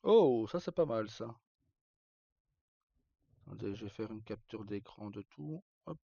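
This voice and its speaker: Male streamer, relaxed dark tone, colloquial rambling storyteller, slightly muffled audio. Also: fairly low pitch, a little slow, vocal fry, no room sound. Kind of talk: casual live chat, recounting roleplay stories.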